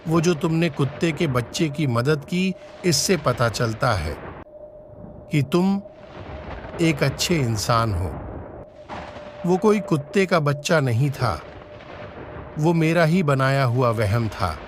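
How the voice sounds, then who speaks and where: A man's voice: mid-range voice, lively intonation, slow pace, pauses often. Man playing a solemn, kindly ghost, noisy backdrop. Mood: solemn, benevolent. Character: benevolent male ghost who tests and helps children. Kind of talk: cartoon story voice acting.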